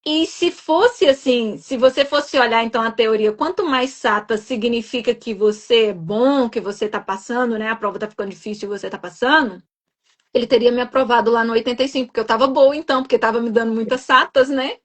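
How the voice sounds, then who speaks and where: A woman's voice: fairly high pitch, brisk. A young adult woman from Minas Gerais with a Minas accent, explaining and reassuring in a live stream chat, often adding tag questions. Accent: Minas Gerais Portuguese. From Minas Gerais, Brazil. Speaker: guest nurse educator.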